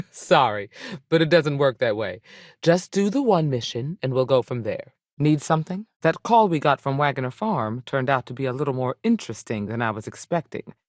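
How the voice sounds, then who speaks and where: A woman's voice: very deep voice, lively intonation, moderate pace, a little mellow, dry recording, studio quality. A woman playing a seasoned, firm but fair space ranger in a video game, plainspoken and authoritative, with folksy turns of phrase. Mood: firm, measured. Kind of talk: video game character dialogue.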